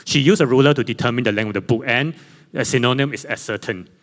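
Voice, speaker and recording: A man presenting slides at a conference panel, speaking English with Chinese as his first language, in a halting way full of fillers and self-corrections. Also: mid-range voice, fairly bright tone. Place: conference hall. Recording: long reverb in a conference hall.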